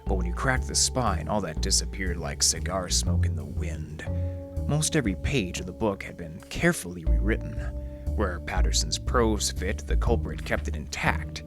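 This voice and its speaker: A man acting as a low-voiced private eye straight out of old radio drama. He is wry and deadpan, delivering hard-boiled lines over music. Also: moderate pace. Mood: world-weary, wry. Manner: deadpan noir wisecracking. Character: wry, hard-boiled male library detective. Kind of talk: 1940s radio detective acting, narration and dialogue.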